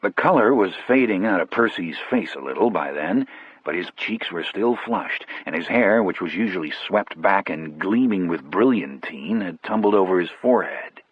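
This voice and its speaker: A man's voice: low-pitched, expressive, moderate pace, breathy edge, no room sound, dull audio. A man narrating an audiobook in the first person, as a storyteller.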